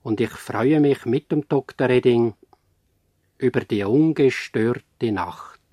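An actor playing a grave, reflective narrator of a radio play, addressing listeners in a measured way. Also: male voice, medium pitch, mellow, no echo, clean studio sound. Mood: calm, gentle. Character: grave, reflective male narrator concerned for humanity. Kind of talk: scripted radio drama narration.

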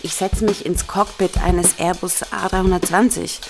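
Low-pitched woman questioning over music. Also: fairly even intonation, moderate pace, vocal fry. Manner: sceptical, probing. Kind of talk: conversational challenge. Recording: music bed under voice. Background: drum music.

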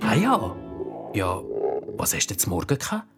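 Narrator playing an excitable, chummy village policeman. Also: deep voice, moderate pace, music in the background, voice pushed down. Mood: chummy, concerned. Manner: chummy, blustering when wronged. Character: Istvan Isering, friendly but excitable neighbourhood policeman.

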